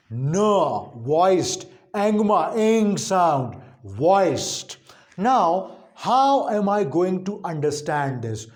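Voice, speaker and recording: A man with a high-pitched voice delivers an instructional linguistics lesson. His pace is slow and his intonation swings widely. He explains deliberately and emphatically, restating key points for his students. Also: a little mellow. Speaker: linguistics lecturer.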